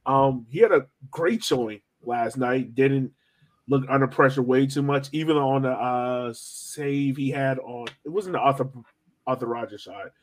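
A man's voice: mid-range voice, measured pace. American man in casual soccer podcast chat. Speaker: sports podcast co-host.

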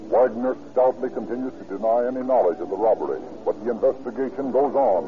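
A male narrator delivers scripted crime-drama narration in old-time radio style. His mid-pitched voice sits over prominent music. The recording is narrow and muffled, like a vintage broadcast. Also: quick pace.

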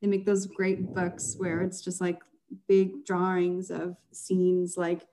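A woman's voice: lowish voice, completely flat delivery, slow, warm tone, a little hiss or hum. A young woman chatting as a guest in an interview, laughing often and using many fillers. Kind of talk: casual interview chat.

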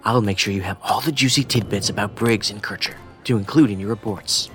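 A man's voice: lowish voice, a little fast, music in the background, close to an everyday voice. A man playing a quirky, tactless federal agent in an audio drama, talking in a rambling, pedantic, matter-of-fact way. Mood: teasing, casual.

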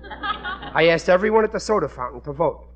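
A man acts out a blustering working-class family man from an old radio comedy. His voice sits fairly high for a man, plaintive and put-upon in an ungrammatical everyman way, on a dull vintage broadcast recording. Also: expressive, fairly quick, slight background noise, lightly acted. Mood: sulky, pleading. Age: middle-aged. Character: aggrieved, blustering working-class husband and father, middle-aged. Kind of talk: 1940s radio sitcom acting.

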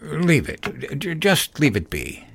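A man playing an uneasy, stubborn old father and former soldier in an audio drama, halting and defensive. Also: a little high, huge pitch swings, creaky, a bit bright, slight background noise, exaggerated voice. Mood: distracted, uneasy.

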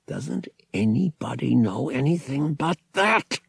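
Man voicing a young hotshot pilot in an audiobook, desperate n bitter, rough gravelly voice, intense. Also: fairly high pitch, big pitch swings, a little slow, dry recording, clean studio sound, voice pushed up, full character voice. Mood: baffled, demanding.

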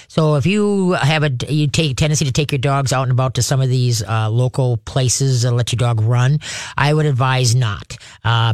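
A man's voice: mid-range voice, no room sound, clean studio sound. A man hosting a radio talk show about dogs, chatting casually and rambling, often laughing at his own remarks. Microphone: studio microphone.

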